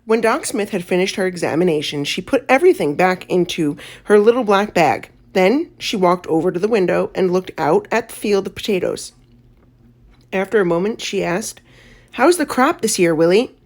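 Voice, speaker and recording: A woman reading a children's story aloud as an audiobook narrator, in an expressive storytelling manner. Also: fairly low pitch, normal speed.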